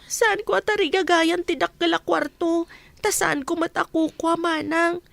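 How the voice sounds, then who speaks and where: Woman acting a meek, high creaky young maid. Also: steady tone, moderate pace, a bit bright, no echo, full character voice. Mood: plaintive. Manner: plaintive, deferential. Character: meek, wounded young orphaned housemaid, female. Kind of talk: Ilocano radio drama acting.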